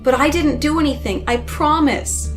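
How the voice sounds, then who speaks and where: A woman's voice: high-pitched, normal speed, over music, voice pushed up, lightly acted. A woman playing a troubled, guilt-ridden young woman, anxious and earnest in her pleading, in a dramatic story reading.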